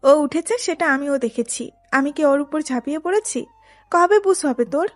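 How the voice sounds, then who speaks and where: A woman's voice: high-pitched, expressive, moderate pace, no room sound, soft background music. A woman playing a protective, exasperated older sister in a dramatised romantic audio story, with a stern, admonishing manner. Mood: stern scolding.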